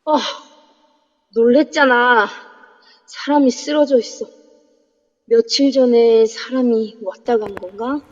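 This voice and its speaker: A woman playing a feisty young woman who films the action, sharp and indignant, in an amateur audio drama. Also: a little high, steady tone, slow pace, pauses often. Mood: startled. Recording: dull lo-fi voice app audio with long reverb.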